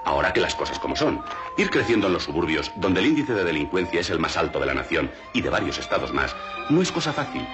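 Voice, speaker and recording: An actor playing a solemn, mock-grave documentary narrator in a film comedy. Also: male voice, sits low, dull audio.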